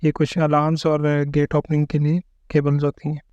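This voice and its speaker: A man's voice: a little high, monotone, normal speed, dry recording, muffled recording. A man narrating an unboxing and setup tutorial, explaining each part step by step and often checking in with the viewer. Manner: explains step by step, keeps repeating "ठीक है". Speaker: tech reviewer.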